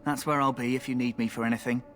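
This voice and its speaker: A man's voice: mid-range voice, fairly even intonation, fast, a bit bright, close to an everyday voice. A man playing a cheeky, flirtatious rogue recruit in a fantasy video game, talking in a wry, colloquial way. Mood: roguish, wry. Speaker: game character. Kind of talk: scripted fantasy game acting.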